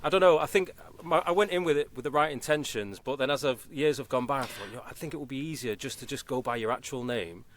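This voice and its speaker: A man from Manchester with a soft Manchester accent, hosting a casual podcast chat, chatty and quick to laugh. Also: medium pitch, slight background noise. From Manchester, England. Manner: quick, chatty, laughs easily, many restarts. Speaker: podcast host.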